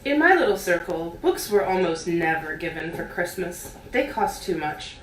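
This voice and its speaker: A woman giving an expressive read-aloud of a literary essay. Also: lowish voice, moderate pace. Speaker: reader. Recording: roomy, distant mic, faint noise.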